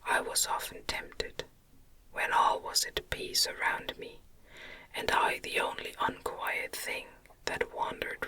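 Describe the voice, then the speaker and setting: A woman reading a novel aloud as ASMR in an unvoiced, breathy whisper, with a gentle, soothing delivery. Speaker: audiobook reader.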